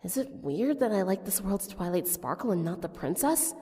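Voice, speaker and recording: A woman's voice: mid-range voice, very animated, moderate pace, mellow, breathy edge, long reverb tail. A woman voicing a casual, kind, slightly awkward teenage girl in an audiobook story. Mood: pensive. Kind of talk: audiobook character dialogue.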